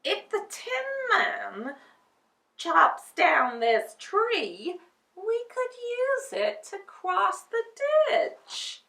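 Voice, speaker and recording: A woman playing a friendly, brainless male scarecrow in a storybook, with a slow, exaggerated drawl. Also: extremely high pitch, huge pitch swings, vocal fry, pushed and bright, voice pushed up, heavily acted. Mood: urgent, decisive. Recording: roomy, distant microphone.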